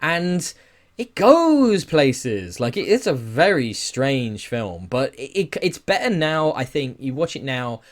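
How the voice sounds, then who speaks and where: A man talks as a podcast host in a casual, opinionated discussion, at a middle pitch. He is animated and sweary, often putting questions rhetorically as he makes his case. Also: slow pace.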